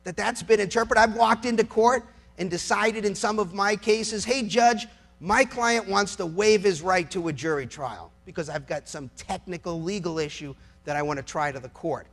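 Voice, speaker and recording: A man with a notably high voice delivers a persuasive legal and political speech. He is emphatic and incredulous, leaning on rhetorical questions as he argues like a lawyer addressing the public. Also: normal speed, creaky, fairly bright tone. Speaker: lawyer giving a public talk.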